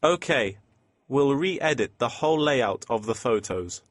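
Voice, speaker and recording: Man acting polite print shop employee, lively intonation. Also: mid-range voice, measured pace, no echo, close to an everyday voice. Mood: polite, attentive. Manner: courteous, customer-service formal. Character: polite, businesslike male printing company employee taking a customer's requests. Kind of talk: scripted exam listening dialogue.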